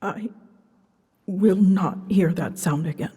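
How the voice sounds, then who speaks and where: A woman playing an ancient female dragon in a fantasy ASMR drama, solemn and tender, speaking softly in measured, formal phrases and often near a whisper. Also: medium pitch. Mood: grieving, resolute. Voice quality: soft, breathy, husky, often dropping into a whisper. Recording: long cavernous reverb.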